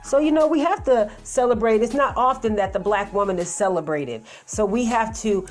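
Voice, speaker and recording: A woman's voice: fairly high pitch, moderate pace, faint music behind. Confident woman in her forties, podcast host. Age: 40s. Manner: laughing, joking, confident. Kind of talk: casual chat.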